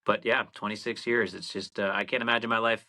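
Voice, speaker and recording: Man, late 30s, faint Boston accent, bright mid-pitched voice, rambling casual guest. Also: quick pace. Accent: slight Boston. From Massachusetts (Cape Cod). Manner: rambling, heavy filler "like", animated quotes. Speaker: radio programmer, podcast guest. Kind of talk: casual podcast conversation.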